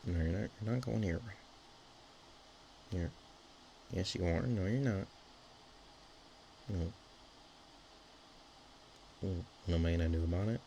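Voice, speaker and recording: A man's voice: low-pitched, a little slow, creaky, long pauses, mellow, lo-fi sound. A man voicing a bashful, affectionate dragon girl in an intimate ASMR roleplay, stammering and hesitant. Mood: teasing, threatening. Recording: faint background noise.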